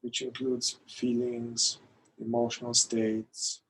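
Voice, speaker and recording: A man leading a guided meditation in a calm, gentle manner, pausing between short phrases. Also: medium pitch, a little hiss or hum, rough recording. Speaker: meditation guide, somatic therapist.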